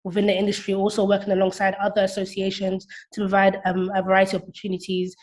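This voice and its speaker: Woman, twenties, mid pitch, flat intonation, explaining as an interview guest. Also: fast talker. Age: 20s. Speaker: interview guest, platform founder. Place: remote video call.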